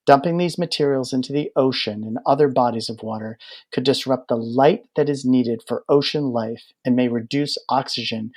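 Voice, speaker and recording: A man narrates an audiobook from a prepared text. His voice has a medium pitch, and he explains the material in a measured way. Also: a little mellow.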